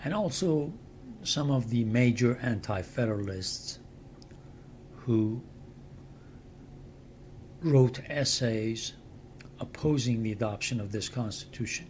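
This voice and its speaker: Slow, measured older Iranian man. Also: mid-range voice, darkish tone, slight background noise. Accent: Persian. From Iran. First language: Persian. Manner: measured, deliberate, with pauses. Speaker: channel host and translator. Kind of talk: slow explanatory talk to camera.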